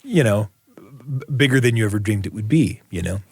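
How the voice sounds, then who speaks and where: A man's voice: on the low side, lively intonation, brisk, darkish tone, breathy edge, dry recording. An American man hosting a radio show, chatting and interviewing in a reflective, folksy, exclamatory way. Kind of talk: conversational interview.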